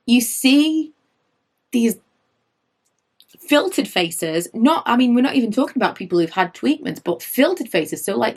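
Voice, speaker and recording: A woman in her late thirties, slightly hoarse from losing her voice, chatting through her makeup favourites and wandering off on tangents. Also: medium pitch. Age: late 30s. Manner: rambling, goes off on tangents; animated, rises when indignant. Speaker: beauty vlogger.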